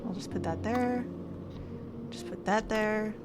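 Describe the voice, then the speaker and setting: A woman streaming a puzzle game, chatting casually, thinking out loud and reading the game's text aloud. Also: medium pitch, measured pace, background noise. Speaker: gaming streamer. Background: game music.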